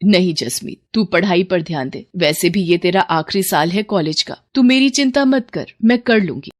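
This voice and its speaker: A woman voicing a weary, devoted middle-aged wife and mother in an animated moral story, speaking in an earnest, motherly way. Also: fairly low pitch, normal speed, close to an everyday voice. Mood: reassuring.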